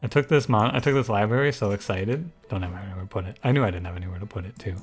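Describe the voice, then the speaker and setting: A man with a soft, soothing voice giving relaxed, thinking-aloud commentary over a strategy game. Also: very fast, faint music behind. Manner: low-key, musing, thinks aloud with frequent restarts. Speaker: gaming YouTuber and streamer. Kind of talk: casual gameplay commentary.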